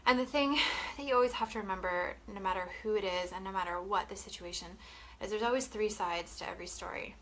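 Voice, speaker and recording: Sniffly young woman with a cold, bright voice, chatty and sweary, faint room hum behind. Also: medium pitch, quick pace. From Canada. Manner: chatty, swearing, frequent "like" and "you know". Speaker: wellness vlogger. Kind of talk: casual talk to camera.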